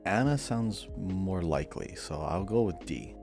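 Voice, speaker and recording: A man's voice: deep voice, lively intonation, unhurried, over music. A man co-hosting a podcast, chatting casually as he works out answers to quiz questions. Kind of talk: casual chat.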